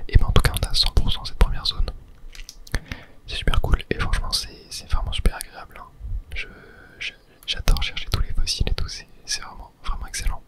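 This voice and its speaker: Whispering man, ASMR gamer. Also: fairly quick. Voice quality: whispered, breathy, mostly unvoiced. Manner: casual, muttering to self and viewers. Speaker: game streamer, ASMR video host. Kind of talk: whispered ASMR gameplay commentary. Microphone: close microphone.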